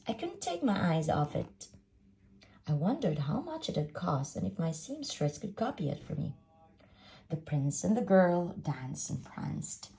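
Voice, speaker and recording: Woman, storybook read-aloud, dramatic delivery, wide expressive pitch, slightly low, gravelly creak. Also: slight background noise, poor audio. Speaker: storybook reader.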